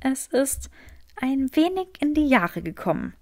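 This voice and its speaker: A woman's voice: high-pitched, very animated, unhurried, mellow, pitched above the performer's own voice. A woman voicing a prim, spirited young woman who protests impatiently, in an audiobook story. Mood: awkward, matter-of-fact.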